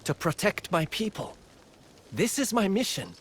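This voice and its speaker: Earnest, eager young village fighter. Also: male voice, high-pitched, sing-song, normal speed, fairly bright tone, slight background noise. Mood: earnest, resolute. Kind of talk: video game cutscene acting.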